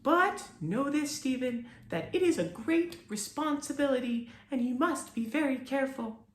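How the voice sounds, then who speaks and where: A man voicing a tiny, high-voiced female fairy protector with a screechy tone. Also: expressive, moderate pace, roomy sound. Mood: solemn.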